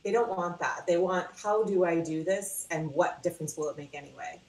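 A woman chatting casually on a video call in a halting, self-interrupting way, as a coach would about their work. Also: on the low side, darkish tone. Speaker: mindfulness coach. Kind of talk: casual chat. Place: indoors at home, on a video call. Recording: roomy echo on a video call.

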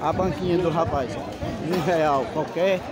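A man's voice: a little high, unhurried, low-quality recording. Chatty man vlogging a busy market. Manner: chatty, greets vendors, calls prices. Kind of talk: casual walking vlog. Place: outdoor street market. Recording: loud market crowd around.

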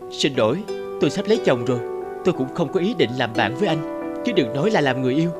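An actress voicing a distressed, wounded young woman in an animated drama, emotional, indignant and pleading. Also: deep voice, quick pace, over music. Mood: indignant, defiant. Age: young adult. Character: distressed young engaged woman, defiant, wounded, guilt-ridden.

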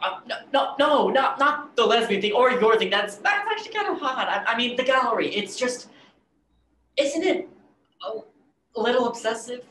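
A woman's voice: fairly high pitch, very animated, moderate pace, fairly bright tone. A young adult playing an awkward, smitten young man, stammering and hesitant, in a play reading. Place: indoor room, video call. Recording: roomy video call, background noise.

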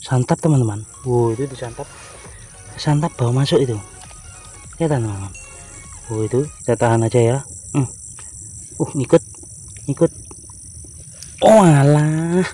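Mellow-voiced man fishing outdoors. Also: mid-range voice, moderate pace, long pauses, soft background music. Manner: talks to viewers, frequent exclamations. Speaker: fishing vlogger. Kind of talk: casual live commentary while fishing. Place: outdoors by muddy rice field water. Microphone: body-worn action camera.

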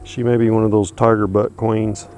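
A man's voice: on the low side, little pitch movement, normal speed, darkish tone. Easygoing man in a bee yard. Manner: easygoing, folksy, thinking aloud with fillers. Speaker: beekeeper vlogger. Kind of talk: casual narration while working. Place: outdoors in a wooded bee yard. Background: music and buzzing bees.

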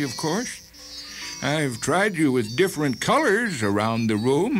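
An actor playing an uneasy, apologetic doctor in a radio drama, speaking hesitantly and haltingly. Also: male voice, on the high side, rough recording.

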